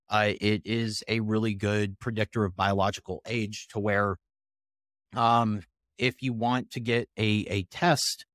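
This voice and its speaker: A man around thirty speaking as a podcast guest, explaining research in a measured, conversational way with pauses between phrases. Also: on the low side, fairly bright tone, no room sound. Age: 30s.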